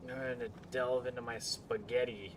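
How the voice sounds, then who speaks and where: A man's voice: mid-range voice, steady tone, normal speed, noisy background. A man streaming a building game, talking to himself as he works through problems and greeting chat now and then. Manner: mumbling to himself, musing over puzzles, greets chat. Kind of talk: casual live-stream commentary while playing.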